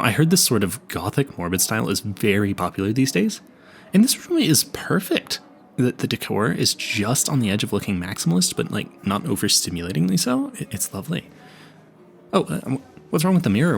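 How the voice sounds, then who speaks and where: A man's voice: medium pitch, sing-song, fairly quick, creaky. A man playing an awkward, smitten young vampire hunter in a romantic audio roleplay, stammering nervously and apologizing politely. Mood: admiring, rambling.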